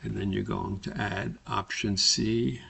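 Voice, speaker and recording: Male instructor for screen tutorial: deep, bright-edged voice, deliberate halting step-by-step delivery. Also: fairly even intonation, creaky, breathy edge. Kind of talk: screen-recorded spreadsheet tutorial narration.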